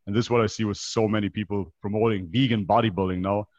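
A man around thirty with a foreign European accent and a fairly low voice. He speaks as an interview guest, explaining things from his own life in a conversational way. The sound is dry and somewhat dull, like an online call. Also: steady tone, fast talker, a little mellow, no room sound. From North Macedonia, raised in Germany. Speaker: podcast guest. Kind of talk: conversational interview, personal account.

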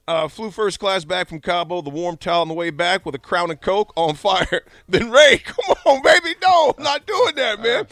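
Animated male sports co-host, forceful bright tone, slightly high pitch, colloquial fan talk. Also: normal speed, hardly any pauses, no echo. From Nebraska, US.

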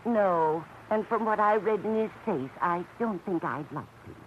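A woman's voice: medium pitch, a little slow, natural voice. A chatty older landlady played by a woman in a 1940s radio play, kindly and musing. The intonation swings expressively. The recording is dull and old, with faint noise. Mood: confiding. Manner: musing, hesitant, expressive talk. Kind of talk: 1940s radio drama acting.

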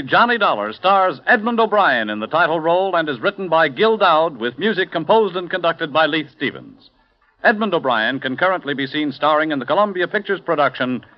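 A man reading the closing credits of a 1950s radio drama in a crisp, formal announcer manner. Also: on the high side. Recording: dull old radio recording.

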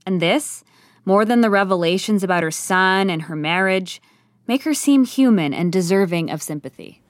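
A woman reading scripted comedy-drama narration in a measured, wry storytelling manner. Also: medium pitch, expressive, no echo, clean studio sound.

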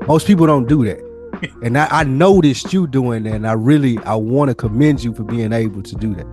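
A man's voice: medium pitch, normal speed, warm tone, soft background music. An American man hosting a casual podcast among friends, talking in loose, profane slang. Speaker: podcast host.

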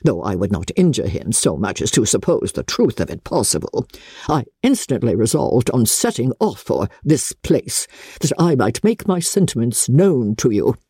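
Imperious older noblewoman, wildly swooping pitch, husky edge, pompous, indignant, commanding, storybook character. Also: big pitch swings, normal speed, warm tone, no echo, studio quality, exaggerated voice. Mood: indignant, angry. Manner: pompous, emphatic, commanding delivery. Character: haughty, imperious older aristocratic lady, indignant and domineering.

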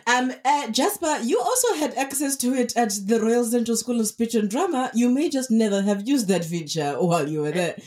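A woman with a South African accent co-hosting a podcast, chatting in an animated way with lots of laughter. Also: on the high side, normal speed, few pauses. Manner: animated, laughing, says "like" often. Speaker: podcast co-host. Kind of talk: casual chat.

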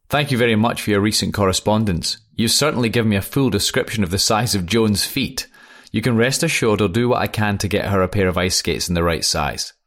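A man's voice: fairly low pitch, a bit bright. A man reading as a young airman writing home. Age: young adult. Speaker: letter reader. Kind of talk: reading a wartime letter aloud.

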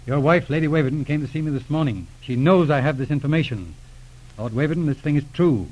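Brisk male inspector, played by an actor, clipped and urgent, quick delivery, 1940s radio drama on a dull recording. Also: fairly high pitch, a little mellow, lightly acted. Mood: grave, respectful.

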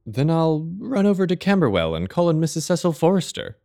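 A man playing an earnest, courteous Victorian gentleman, a detective's loyal companion, in an audio drama, with an eager, polite manner. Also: a little high, sing-song, brisk.

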